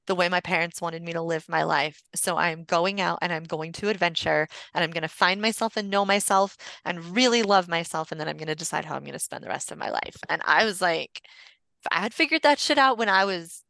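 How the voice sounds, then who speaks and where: Female podcast guest, forties, lowish bright voice, rambling conversational storyteller, close dry sound. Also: fairly quick, vocal fry, dry recording. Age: 40s. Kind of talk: casual personal storytelling.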